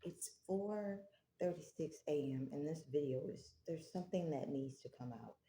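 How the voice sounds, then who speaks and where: A woman with a very deep, dark and mellow voice leads a slow, prayerful tarot reading. She speaks softly in an echoey room with faint background noise. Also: animated. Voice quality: low voice. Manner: slow, prayerful, soft-spoken. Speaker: tarot reader. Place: home, early morning.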